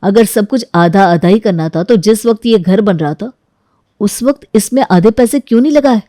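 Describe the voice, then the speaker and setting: A woman's voice: medium pitch, normal speed, warm tone, dry recording, studio quality, natural voice. A woman playing an aggrieved, hard-working brother in a Hindi audio story, with a reasoning way of talking.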